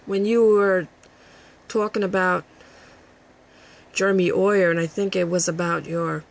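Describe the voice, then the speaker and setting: Casting: woman, raspy husky voice with gravelly fry, combative profane vlog rant. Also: fairly low pitch, fairly even intonation, a little slow, rough recording. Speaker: vlogger. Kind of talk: addressing a critic to camera.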